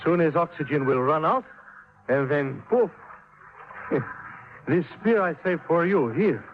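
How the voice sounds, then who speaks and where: An actor playing a suave, smug foreign villain in a 1950s radio drama, with silky, florid, mock-affectionate delivery. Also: male voice, on the high side, lively intonation, unhurried. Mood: smug gloating. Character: suave, gloating male foreign villain, smugly theatrical. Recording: muffled, noisy old radio recording.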